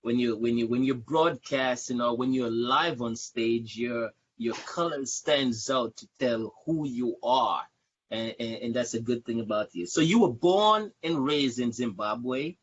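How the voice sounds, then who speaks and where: A man's voice: mid-range voice, a little slow, slight background noise. A man hosting a casual live-stream interview, repeating words and phrases for emphasis. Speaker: show host, interviewer. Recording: dull, lo-fi livestream audio.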